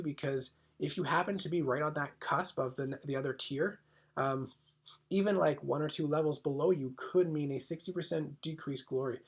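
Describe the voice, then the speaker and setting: A man with a congested voice from a cold, explaining game rule changes in a casual, hesitant way, often clearing his throat. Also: fairly high pitch. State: congested, slightly sick. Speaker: gaming channel host.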